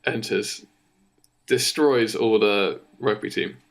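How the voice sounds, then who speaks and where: A man co-hosting a podcast, improvising a comic story in a casual, halting way. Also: on the low side. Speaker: podcast co-host.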